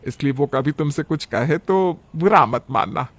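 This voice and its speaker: Gentle middle-aged mother-in-law played by a man, conciliatory and instructive, cartoon family tale, slightly high, dry sound. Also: lively intonation, moderate pace, no room sound. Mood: gently instructing. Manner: soothing, instructive. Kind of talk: Hindi cartoon family story acting.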